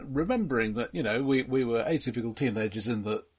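A man's voice: mid-range voice, quick pace, slightly rough. A man co-hosting a film podcast, discussing casually and adding qualifications as he goes.

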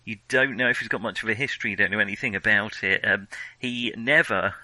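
A man co-hosting a film podcast, talking casually and thinking aloud with frequent hesitant pauses. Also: lowish voice, pushed and bright, no room sound, poor audio. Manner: hesitant, thinking aloud, pausing often mid-sentence.

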